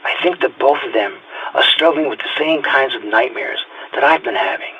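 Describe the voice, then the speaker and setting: A man's voice: medium pitch, normal speed, muffled recording, a little hiss or hum, close to an everyday voice. A man playing a weary, haunted police detective nearing retirement, speaking a reflective, confiding journal entry in an audio drama. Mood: uneasy, hopeful.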